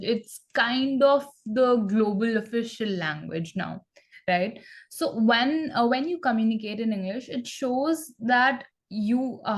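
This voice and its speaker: Female English trainer, measured, encouraging, conversational. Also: on the high side. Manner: measured and encouraging, tags points with "right?". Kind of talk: conversational interview practice.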